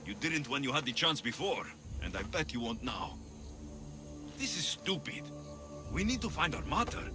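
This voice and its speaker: A man's voice: fairly high pitch, sing-song, normal speed, over music. A man playing a young Greek mercenary in a video game, earnest and defiant, pleading for his family. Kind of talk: video game dramatic acting.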